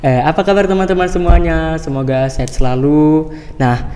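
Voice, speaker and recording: A man's voice: fairly high pitch, fairly even intonation, normal speed, dark tone. A teenage boy hosting a school podcast, upbeat and casual with many fillers. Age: teens. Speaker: podcast host. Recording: reverberant room.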